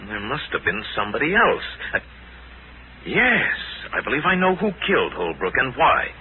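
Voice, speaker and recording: An actor playing a calm, righteous pulp hero detective, authoritative and dramatic in 1940s radio adventure style. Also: male voice, medium pitch. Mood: measured, resolute. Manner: authoritative, measured, dramatic questioning. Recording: tinny, hissy 1940s radio recording.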